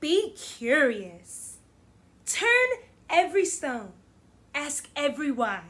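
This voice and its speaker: A young woman teacher reading a picture book aloud to children in an animated, deliberate, encouraging way. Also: high-pitched. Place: small indoor room against plain backdrop.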